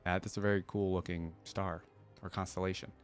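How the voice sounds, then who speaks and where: A man with a fairly low voice hosts a casual reaction video. He reads passages aloud and comments on them informally, thinking out loud as he goes. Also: little pitch movement, fairly quick, faint music behind. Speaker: reaction channel host.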